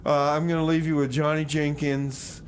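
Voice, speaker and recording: A man's voice: a little high, little pitch movement, normal speed. A man hosting a radio show with casual, rambling banter, often repeating himself as he announces songs and chats. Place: radio studio.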